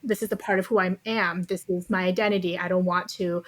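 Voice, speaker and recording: A woman's voice: fairly low pitch, fairly even intonation, brisk, dry recording. A woman speaking as a podcast guest in a thoughtful, conversational way, carefully qualifying her points with nuance.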